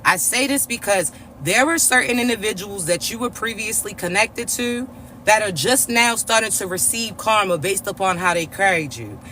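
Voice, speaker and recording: A man with a raspy, congested voice, giving a spiritual reading to his viewers in a slow, repetitive preaching way, often checking in with his listeners. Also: high-pitched, bright, forceful tone, a little hiss or hum. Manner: slow, repetitive preaching with frequent "all right?" check-ins. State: congested, stuffy nose. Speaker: spiritual reader and coach.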